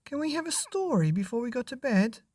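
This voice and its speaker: A man as young boy, storybook, pleading, questioning, raised soft-edged voice. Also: very high voice, very animated, brisk, no room sound, clean studio sound, pitched above the performer's own voice, exaggerated voice. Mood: eager. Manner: eager, questioning, childlike.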